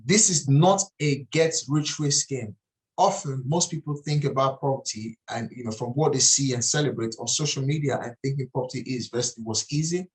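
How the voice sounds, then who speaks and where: A man's voice: medium pitch, moderate pace, rough recording. A middle-aged man from Nigeria with a Nigerian accent, presenting a property investing webinar in an earnest way and often repeating and restarting phrases. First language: Nigerian language.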